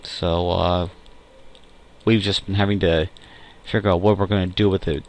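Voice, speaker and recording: A young man with a fairly low voice records a casual solo audio log. He speaks off the cuff in a halting, hesitant way, often pausing to find a word or rephrase what he has just said. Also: little pitch movement. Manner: hesitant, halting, self-correcting, with frequent pauses. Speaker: amateur audio log host. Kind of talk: casual unscripted tech and personal monologue.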